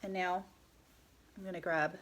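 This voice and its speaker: A woman with a stuffy nose from a cold, calmly talking through a step-by-step tutorial and often dropping to a soft whisper. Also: lowish voice. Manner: calm, unhurried, often drops to a soft whisper. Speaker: head wrap tutorial host.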